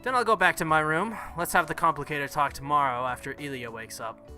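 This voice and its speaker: A man's voice: on the high side, brisk, sharp tone, faint music behind. A man voicing a stubborn, protective, hot-headed young man from a visual novel. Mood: curt.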